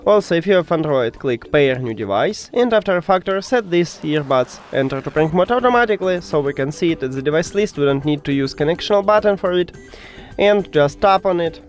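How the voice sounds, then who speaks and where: A man with a moderately high voice walks through a tech tutorial one step at a time. He is a non-native English speaker who pauses and hesitates to find his words. Soft music plays behind him. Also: expressive. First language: not English.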